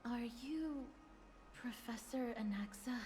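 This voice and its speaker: Earnest high-voiced game heroine. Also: female voice, slow pace, a crowd in the background. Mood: gentle, hushed. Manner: dramatic, earnest. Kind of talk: video game voice acting. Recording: loud game music underneath.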